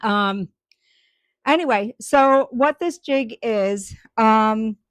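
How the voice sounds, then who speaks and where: A woman's voice: fairly high pitch, very slow, no echo. A woman chatting live with viewers about her woodturning, rambling with lots of ums and restarts. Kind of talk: casual live-stream chat.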